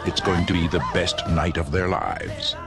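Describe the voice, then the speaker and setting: A man performing as an ominous horror film trailer narrator, with an American accent and a gravelly, slow, menacing delivery over music. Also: sits low, fairly even intonation. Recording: loud music behind trailer audio.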